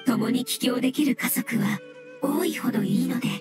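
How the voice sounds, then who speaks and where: Woman as high gentle mushroom creature in an anime game, very polite and formal, loud music behind. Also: moderate pace, natural voice. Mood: earnest. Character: gentle floating fungus elemental creature, earnest and polite.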